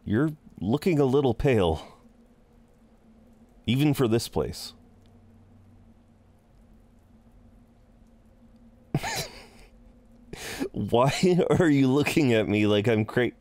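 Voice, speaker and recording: Man acting as low, unhurried incubus innkeeper. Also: dark tone, no room sound. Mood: amused, puzzled, then flustered, hesitant. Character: courteous, chatty male incubus innkeeper, flirtatious and easily flustered. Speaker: audio roleplay performer. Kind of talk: intimate scripted roleplay acting.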